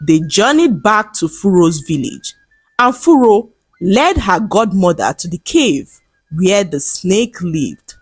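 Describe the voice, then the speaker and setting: Slow, breathy woman telling a folktale. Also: lowish voice, sing-song, dry recording, faint music behind. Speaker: storyteller. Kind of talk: scripted folktale narration.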